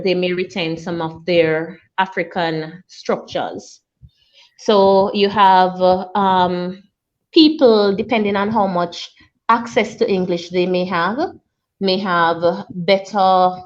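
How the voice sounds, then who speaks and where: Slow, measured woman on muffled audio. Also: medium pitch. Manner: measured, explanatory with fillers. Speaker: linguistics lecturer, guest. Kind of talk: interview answers, explaining.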